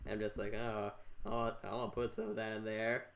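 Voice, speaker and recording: An American man from Minnesota hosting a casual podcast interview, rambling and halting as he repeats himself. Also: lowish voice, flat delivery. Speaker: podcast host.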